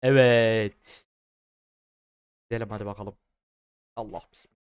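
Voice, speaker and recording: Clear-voiced, foul-mouthed young man. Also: on the low side, measured pace, no echo, dull audio. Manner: excitable, repeats words in bursts. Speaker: gaming streamer. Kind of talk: casual trolling game commentary.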